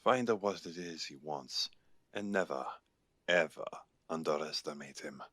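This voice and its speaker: A man acts out a guarded Irish werewolf alpha for an audio drama. His voice sits deep in the register and is recorded dry. He chooses his words deliberately and gravely, like a seasoned pack leader. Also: fairly bright tone, no echo, heavily acted. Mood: stern, advising. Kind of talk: audio roleplay acting.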